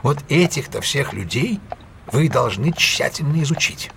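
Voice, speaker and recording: An actor playing a shrewd, authoritative detective in an audio drama, speaking precisely and in a measured way as he instructs. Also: medium pitch, expressive, gravelly, background noise. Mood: analytical, firm. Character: shrewd, commanding male detective giving careful instructions.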